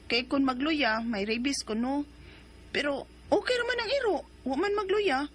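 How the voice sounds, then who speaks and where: A woman playing a high-voiced young mother. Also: lively intonation, fairly bright tone. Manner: earnest, quick to defend herself. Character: struggling young mother, defensive. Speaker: narrator and lead. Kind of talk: radio drama acting.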